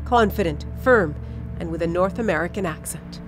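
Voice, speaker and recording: Woman narrating a news report over music. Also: on the low side. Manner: measured, dramatic storytelling delivery. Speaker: television news correspondent. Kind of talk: scripted documentary news narration. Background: music bed under the voice-over.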